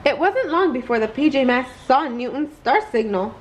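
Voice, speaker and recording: A woman reading a children's story aloud in an expressive bedtime read-aloud style. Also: high-pitched, moderate pace. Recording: clear background noise.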